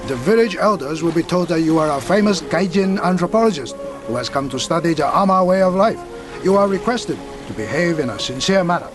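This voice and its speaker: Guy playing polite official, high voice, formal n a bit hesitant, radio play with music behind. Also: animated, a little fast, lightly acted. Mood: formal, explaining. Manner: formal, deferential, slightly hesitant. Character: courteous, formal Japanese male official and interpreter. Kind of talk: radio drama acting.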